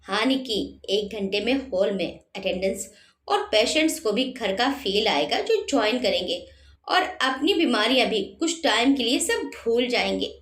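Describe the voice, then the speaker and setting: A woman's voice: on the high side, lively intonation, measured pace, a bit bright. A woman voicing a friendly young male doctor who explains in a matter-of-fact way. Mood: explaining. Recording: roomy echo.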